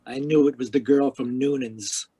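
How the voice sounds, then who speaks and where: A man's voice: mid-range voice, fairly even intonation, normal speed, mellow, breathy edge, lightly acted. A man playing a tough, wisecracking private investigator in a 1940s-style radio noir, terse, cynical and slangy. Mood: world-weary narrating. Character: tough, wisecracking hardboiled private investigator narrating his case.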